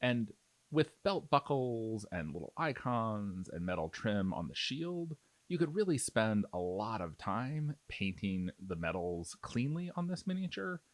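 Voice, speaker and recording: Low-voiced man, animated tutorial narration. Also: a little slow, dry recording. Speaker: miniature painting tutorial host. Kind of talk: informal step-by-step tutorial narration.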